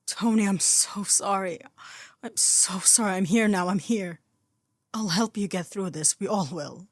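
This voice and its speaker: A woman's voice: medium pitch, a little slow, no room sound, clean studio sound, natural voice. A woman voicing a protective male soldier, tearful, apologetic and tender, in an audio story. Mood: tearful apology.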